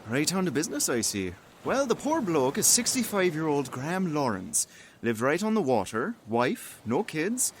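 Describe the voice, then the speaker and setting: An actor playing a teasing, cynical police colleague in an audio drama, casual and wry. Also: male voice, fairly high pitch, sing-song, moderate pace, slight background noise.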